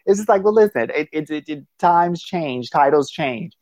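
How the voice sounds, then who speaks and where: A man's voice: fairly high pitch, animated, normal speed, darkish tone, no room sound. A man talking thoughtfully in a casual conversation over a call, with many restarts and affirmations. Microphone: phone call.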